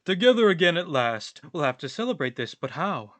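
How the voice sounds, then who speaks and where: A man's voice: a little high, sing-song, fairly quick, a bit bright, dry recording, voice pushed up. A man playing a talkative, philosophical vagrant in a radio play, with a wordy, pompous, theatrical delivery. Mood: musing, hearty.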